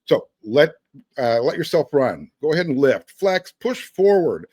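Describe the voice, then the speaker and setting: A male sports podcast host with a lively, wide-ranging intonation. He speaks conversationally and leans on key phrases. The sound is dry, with very little room in it. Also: on the high side, measured pace, dry recording. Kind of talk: casual sports talk with read-out sponsor spots.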